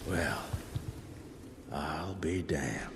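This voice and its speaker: Extremely deep, gruff male gunslinger. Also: drawn-out words, noisy background, exaggerated voice. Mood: wry. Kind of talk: video game character dialogue. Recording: reverberant hall, music behind.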